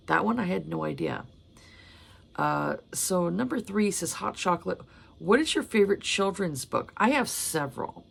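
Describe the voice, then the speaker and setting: A woman in her thirties, hoarse from a cold, chatting casually about books with many fillers. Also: low-pitched. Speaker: book vlogger. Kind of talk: casual chat.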